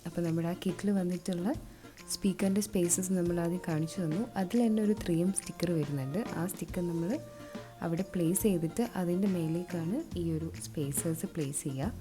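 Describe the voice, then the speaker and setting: A woman's voice: lowish voice, brisk, dark tone. A woman with a Malayali accent gives a hands-on, step-by-step installation demonstration in a conversational way, mixing in English terms. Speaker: presenter of a product installation tutorial. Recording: music playing behind the voice. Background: clearly audible background music.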